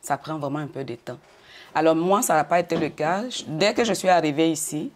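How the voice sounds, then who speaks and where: Low-voiced older woman from Côte d'Ivoire. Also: darkish tone. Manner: measured, repeats words, brief laughs. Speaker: interview guest. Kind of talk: interview answers.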